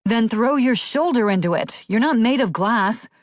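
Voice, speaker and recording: Audiobook lady doing a tough small-town lady cop, commanding but protective, pitched up from narration, dry sound. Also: animated, normal speed, no echo, lightly acted. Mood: angry, urgent. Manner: urgent, forceful commands. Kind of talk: audiobook character dialogue.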